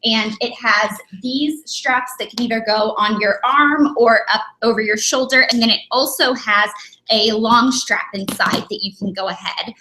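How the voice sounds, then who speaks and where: A young woman talks casually on a live stream from her dining room, her voice bright and slightly high. She rambles with plenty of fillers and sounds a little sniffly from a cold. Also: normal speed, a little hiss or hum, rough recording. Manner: chatty, rambling, many fillers. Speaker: beauty vlogger.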